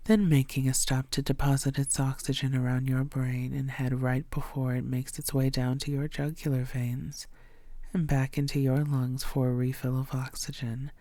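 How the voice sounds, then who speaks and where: Female vampire, played by a woman, intimate roleplay audio. Lowish-key menace, flirtatious and possessive, gravelly fry, very dry close sound, breathy half-whispers. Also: mellow, dry recording, full character voice. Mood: seductive, predatory. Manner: teasing, breathy half-whispers, slow deliberate delivery.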